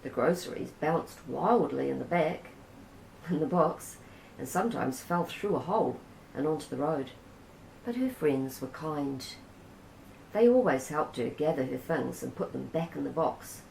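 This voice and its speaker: Woman, New Zealand accent, children's storybook reading, lively melody, mellow tone, echoey room. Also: sits low, vocal fry, slight background noise. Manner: gentle, unhurried storytelling. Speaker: storybook reader. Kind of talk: reading a children's picture book aloud. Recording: roomy echo.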